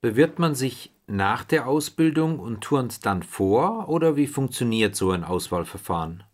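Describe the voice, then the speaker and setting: Guy doing interview questions, scripted, average pitch. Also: animated, a little slow.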